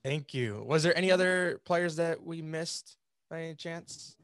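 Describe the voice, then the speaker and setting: A woman's voice: deep voice, measured pace, no room sound, clean studio sound. A woman speaking encouragingly and politely as she hosts a casual group interview over a video call. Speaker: interviewer host. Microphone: video call microphone.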